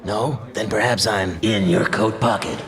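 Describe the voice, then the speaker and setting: A man's voice: lowish voice, a little slow, a crowd in the background. A man playing a self-important, bumbling crime fighter who poses as a mysterious specter, pompous and theatrical, in a comic superhero audio drama. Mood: smug, mysterious.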